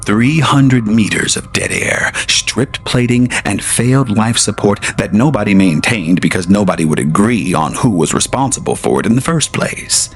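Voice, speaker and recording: Gravelly, theatrical male drama narrator. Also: on the low side, expressive, moderate pace, few pauses, slight background noise. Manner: theatrical, addresses the listener directly. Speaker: narrator and host. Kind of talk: scripted audio drama narration.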